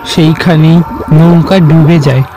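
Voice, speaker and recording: A man's voice: a little high, steady tone, measured pace, mellow, soft background music, low-quality recording. A man narrating a horror cartoon story in a dramatic style.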